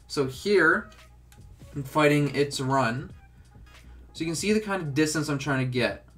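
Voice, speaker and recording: A man's voice: on the high side, fairly bright tone. A young man with a stuffed-up, congested voice, casually talking through a game tutorial with lots of filler words. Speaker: gaming tutorial host.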